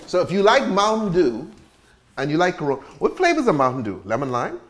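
Man, american accent, cocktail show host, joking and profane, lively swooping pitch, faint noise. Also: a little fast. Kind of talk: casual cocktail-making chat.

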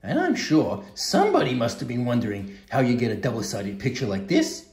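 A man's voice: mid-range voice, sing-song, a little fast, darkish tone, reverberant, faint music behind, close to an everyday voice. A man voicing a cheerful, boastful stuffed bear who presents crafts for children in a puppet show.

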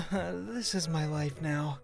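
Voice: medium pitch, drawn-out words, gravelly, a little mellow, faint music behind. An actor playing a weary young older-brother hero with a dry, resigned manner in an anime comic dub.

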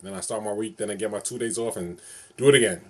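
A man's voice: on the low side, fairly even intonation, quick pace, a bit bright. A man talks straight to a webcam in a small bedroom. He rambles casually and loops back over the same phrases again and again. The sound is plain and close, picked up by the camera's own mic. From New York City. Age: 40s. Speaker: vlogger. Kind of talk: casual solo vlog to camera.

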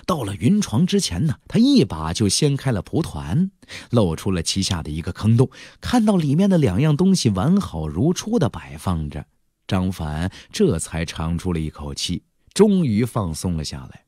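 A man's voice: on the low side, huge pitch swings, moderate pace, warm tone, slightly rough, no room sound, studio quality. A man narrating an audiobook as a dramatic, conversational storyteller who leans into rhetorical questions and emphatic endings.

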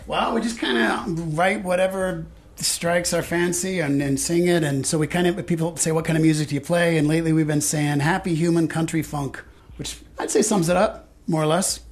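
Male band member, somewhat high-pitched, relaxed conversational radio interview answers. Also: normal speed. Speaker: band singer and guitarist, interview guest.

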